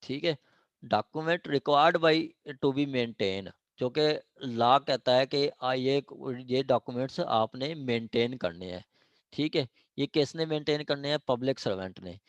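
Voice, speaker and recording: A man's voice: mid-range voice, slow pace, no echo. A man with a Pakistani accent lecturing informally, explaining point by point with frequent check-in questions and repeated phrases. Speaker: law lecturer. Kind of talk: informal word-by-word lecture, mixing in English terms.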